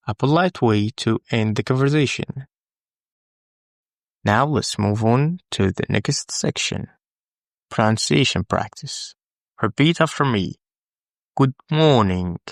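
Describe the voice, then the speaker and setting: Man, native Arabic speaker, language teacher, unhurried pace, dry close sound, deliberate drill delivery. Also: mid-range voice, dry recording. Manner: deliberate, instructional, with pauses for the learner. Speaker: English teacher. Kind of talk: scripted language lesson, repeat-after-me drills.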